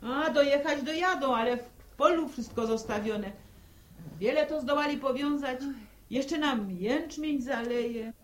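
Radio play role: homely rural mother, anxious yet soothing, noticeably high-pitched. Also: a little slow, a little hiss or hum. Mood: worried. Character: worried, comforting village mother of grown sons. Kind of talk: radio drama acting.

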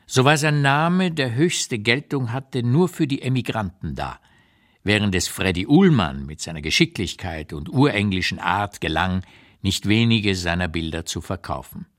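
A man reading as an old writer looking back on wartime London in a measured, deliberate literary radio narration. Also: low voice, fairly bright tone. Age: older. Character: old male writer recalling wartime London, unsparing, reflective.

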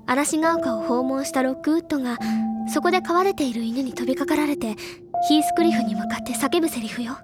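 A woman acting a bookish, earnest teenage schoolgirl sleuth in an audio drama, insistent and explaining things deliberately in feminine phrasing. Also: high-pitched, close to an everyday voice. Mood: solemn, deducing. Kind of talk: Japanese audio drama acting, accusatory monologue. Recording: loud music under the voice.

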